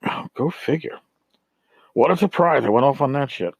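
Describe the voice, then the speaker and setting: A man's voice: mid-range voice, expressive, normal speed, vocal fry, slightly rough, no room sound. Blunt, exasperated man ranting. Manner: blunt, profane, exasperated, rambling. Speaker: tabletop gaming podcast host. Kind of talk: casual ranting monologue.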